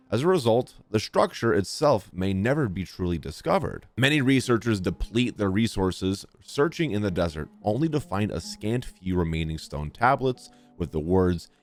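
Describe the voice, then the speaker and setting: A man streaming a video game, reading on-screen text aloud and reacting casually. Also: low-pitched. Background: game music.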